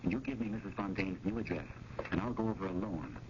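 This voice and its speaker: An actor playing an earnest, anxious museum curator in a 1970s radio drama, speaking with formal, insistent urgency. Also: male voice, sits low, fast talker, highs cut off. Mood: brisk, agitated. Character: John, earnest, anxious male museum curator pursuing a painting. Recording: noisy, band-limited 1970s radio broadcast.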